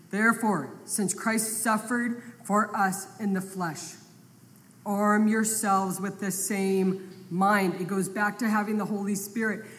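A woman teaching a Bible study to other women, reading scripture aloud and building to emphatic, forceful peaks. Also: medium pitch. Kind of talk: sermon. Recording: long reverb, faint background noise.

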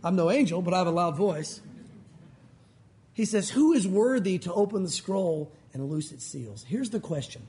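A male pastor with a loud voice, preaching in a conversational, emphatic way. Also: sits high. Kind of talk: sermon.

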